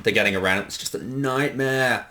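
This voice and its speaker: A man's voice: mid-range voice, a little fast, sharp tone. A man giving a casual director's commentary, enthusiastic and sweary, often hesitating as he talks. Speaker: host and director of a fan parody series.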